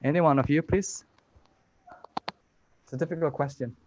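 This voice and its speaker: A man moderating a panel, putting questions to the speakers in a measured way. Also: fairly high pitch, muffled recording, slight background noise. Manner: measured, asks questions, frequent listening murmurs. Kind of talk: panel discussion.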